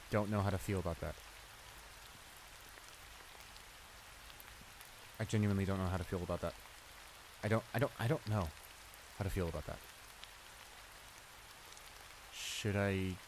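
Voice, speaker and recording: A man's voice: fairly low pitch, natural voice. A man playing a shy, flustered school bully in an audio roleplay, stammering and hesitant as he apologizes and gets embarrassed. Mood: embarrassed, nervous. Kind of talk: intimate improvised audio roleplay acting. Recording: rain noise behind the voice. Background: steady rain.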